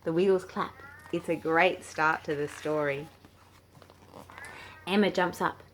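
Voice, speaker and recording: A woman's voice: low-pitched, measured pace, rough recording. Woman reading a children's storybook, background noise. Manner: animated, expressive, playful. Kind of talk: read-aloud children's storybook narration with acted dialogue.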